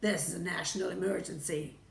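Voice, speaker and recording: A woman voicing a gruff, irascible male President in a storybook, rasping and barking with pompous command. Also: fairly even intonation, fairly quick, roomy sound. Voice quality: deep, rasping. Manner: snappy, barking.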